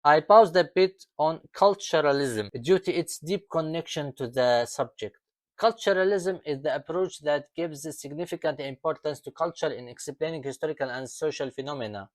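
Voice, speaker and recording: Man explaining in a measured, deliberate way. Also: a little high, no echo. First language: Arabic. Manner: deliberate, lists items slowly with falling ends. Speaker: author presenting his book.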